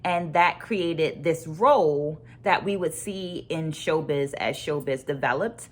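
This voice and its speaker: A woman with a slightly low voice speaks as the host of an online book club. She presents her notes and thoughts deliberately, leaning on key phrases and repeating them for emphasis. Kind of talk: book discussion vlog with takeaways and read quotes.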